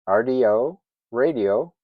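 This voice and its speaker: An older man giving an informal instructional narration in a measured, plain-spoken manner, as a hobbyist presenter. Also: mid-range voice, animated, no room sound, highs cut off. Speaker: amateur radio operator presenting a tutorial.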